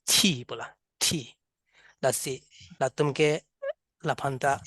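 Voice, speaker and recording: A man's voice: mid-range voice, a bit bright, no echo, studio quality. Karbi-accented man drilling English sounds. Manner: drills words slowly, repeating sounds for learners. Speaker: English teacher. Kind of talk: classroom lesson, mixing local language and English. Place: classroom.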